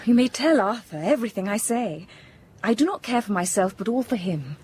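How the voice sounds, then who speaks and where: A woman's voice: medium pitch, lively intonation, brisk, a little mellow, breathy edge. An actress playing a genteel, frail young Victorian lady in an audio drama, brave but weary and hesitant. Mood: reluctant, weary. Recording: faint background ambience.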